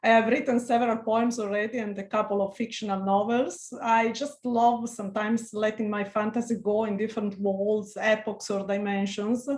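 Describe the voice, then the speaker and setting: A woman's voice: medium pitch, level tone, normal speed, few pauses, darkish tone, echoey room. A middle-aged woman with an Italian accent, a researcher being interviewed, speaking earnestly with many hesitant restarts and fillers. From Italy. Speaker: liver researcher, interview guest. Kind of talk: video call interview.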